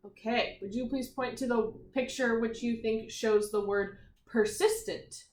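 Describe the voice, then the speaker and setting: A woman teacher giving a read-aloud vocabulary lesson to young children, enunciating deliberately, repeating phrases and putting questions to her listeners. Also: fairly high pitch, steady tone, darkish tone. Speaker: primary school teacher. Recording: echoey room.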